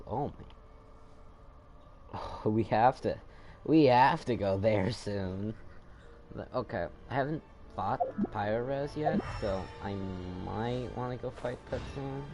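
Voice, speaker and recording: A man's voice: medium pitch, takes it slowly, a little mellow. A man giving casual, offhand gameplay commentary with joking asides as the host of a gaming video. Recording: game music behind the voice.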